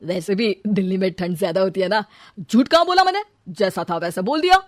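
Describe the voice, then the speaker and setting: A boastful young groom, voiced by a woman, glib and unabashed, in a comic audio story. He stammers out excuses at a middling pitch, recorded dry. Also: animated, brisk, no room sound, natural voice. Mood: glib, self-justifying.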